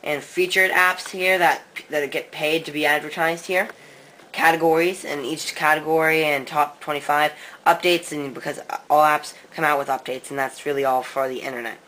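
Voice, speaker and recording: A man's voice: fairly high pitch, steady tone, normal speed, pushed and bright, poor audio. A man co-hosting a tech comparison, casually talking through a device demo. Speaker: co-host, tech reviewer. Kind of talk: casual gadget review demo.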